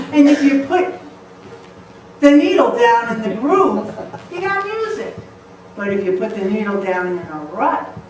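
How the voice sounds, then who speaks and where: A woman gives a playful, laughing motivational talk in a high voice that swoops up and down. The sound comes from a distant mic in a very echoey hall with faint noise behind. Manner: lively, playful, often laughing. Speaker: motivational speaker. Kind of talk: humorous storytelling talk to a live audience.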